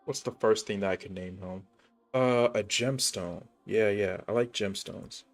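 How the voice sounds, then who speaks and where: A man's voice: on the low side, a little slow. Laid-back young guy streaming a game. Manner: rambling, lots of "like" and restarts, laid-back. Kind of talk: casual gameplay commentary. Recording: stream with faint game music.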